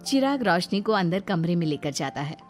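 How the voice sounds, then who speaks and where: A woman's voice: fairly low pitch, sing-song, a little fast, faint music behind. A woman reading scripted narration for a cartoon moral story.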